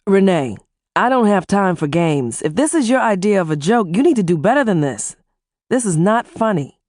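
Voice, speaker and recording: A woman voicing a blunt, quick-tempered husband who scolds and swears. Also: medium pitch, lively intonation, no room sound, clean studio sound, voice pushed up, lightly acted. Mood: angry. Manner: gruff and profane, scolding.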